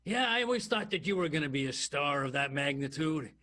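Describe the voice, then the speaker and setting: A man playing a halting, smitten police detective in an improvised 1920s radio soap. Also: fairly high pitch, expressive, bright, forceful tone, slight background noise. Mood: earnest, confiding. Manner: halting, stammering.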